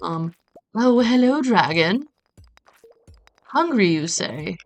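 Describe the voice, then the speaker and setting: A woman's voice: mid-range voice, takes it slowly, soft background music. Chatty woman streaming a game. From Brazil. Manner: animated storytelling with acted-out quotes and playful asides. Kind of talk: casual chat while playing a game on a livestream.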